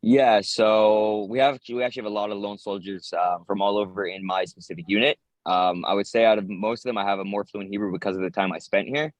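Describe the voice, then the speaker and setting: A man's voice: lowish voice, steady tone, a little fast, few pauses, no echo. A man in his twenties with an American accent, answering interview questions in casual talk as a soldier. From United States. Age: 20s. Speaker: interviewee, infantry paratrooper soldier.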